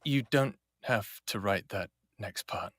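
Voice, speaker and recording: An actor playing a devoted, wry human lover in his thirties in an intimate audio drama, speaking in a hushed way. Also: fairly low pitch, unhurried, slight background noise. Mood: tender, pained. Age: 30s.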